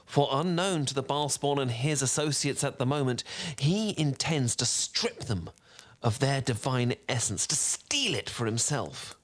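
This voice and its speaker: A learned, charming male wizard, acted by a man spins a bedtime tale in a soft, velvety voice of medium pitch. He is theatrical and eloquent, a little pompous, and keeps an unhurried, soothing delivery suited to a sleep-aid roleplay. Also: expressive. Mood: hushed, dramatic. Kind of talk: soft-spoken bedtime storytelling roleplay, ASMR.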